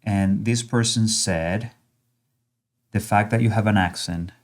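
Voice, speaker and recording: Low-voiced earnest male guest, accented English. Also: steady tone, unhurried. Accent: non-native accent in English. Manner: earnest, encouraging, often checks in with "right?". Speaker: college language instructor guest. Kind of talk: conversational interview.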